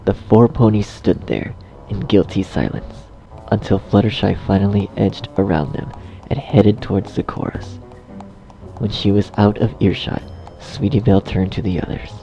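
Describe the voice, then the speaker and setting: A man's voice: lowish voice, expressive, moderate pace, creaky, dark tone. A man narrating a scripted story, recorded slightly dull. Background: faint music.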